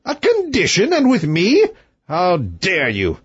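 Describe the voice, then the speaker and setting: A man voicing a hot-tempered old king who blusters and shouts, on a dull lo-fi recording. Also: high voice, huge pitch swings, takes it slowly, husky, dry recording, pitched above the performer's own voice, heavily acted. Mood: blustering.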